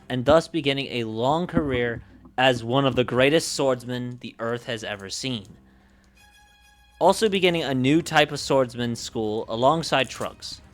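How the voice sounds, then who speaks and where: Halting male streamer over music. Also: medium pitch. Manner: halting, repeats and corrects words. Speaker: livestreamer and game master brainstorming a campaign. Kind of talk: reading backstory summaries and chatting to stream. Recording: music playing behind the voice. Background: background music.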